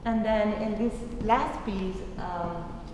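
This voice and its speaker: A woman's voice: mid-range voice, slow. Echoey far-off woman from Argentina. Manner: thoughtful, searching for words, many fillers. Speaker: artist presenting her work. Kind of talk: gallery talk. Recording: echoey hall recorded from far off, noisy.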